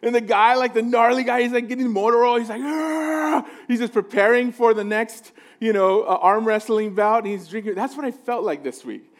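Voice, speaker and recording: A man with a high-pitched voice preaches to a congregation. He keeps it informal and conversational, with joking asides, and often turns to the listeners for agreement like a pastor at ease in casual speech. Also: moderate pace, few pauses.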